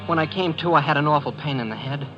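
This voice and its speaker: A man's voice: on the high side, quick pace, dull audio, over music, close to an everyday voice. An American man playing an earnest, plain-spoken teenage boy in a 1940s radio drama. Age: teenager, about seventeen. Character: earnest, folksy teenage boy trapping his scheming stepfather. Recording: band-limited vintage 1940s radio recording.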